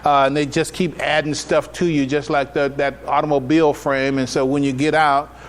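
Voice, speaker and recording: A man's voice: on the high side, little pitch movement, normal speed. An American man giving an informal religious lecture to a congregation as an imam, conversational and folksy, often checking in with rhetorical questions, recorded live in a noisy room. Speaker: imam giving a public address and taking questions. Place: live gathering at a mosque, speaking to attendees.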